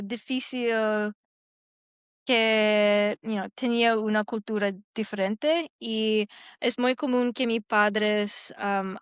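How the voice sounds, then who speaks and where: A woman whose first language is Hindi, a podcast guest speaking learner Spanish haltingly, with many "am" fillers and stray English words. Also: medium pitch, clean tone, dry recording, studio quality. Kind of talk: casual conversation in learner Spanish.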